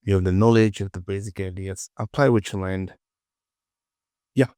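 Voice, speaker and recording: A man presenting a casual software tutorial, informally talking himself through the work and often repeating words, close to the mic. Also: deep voice, steady tone, moderate pace, smooth, no room sound.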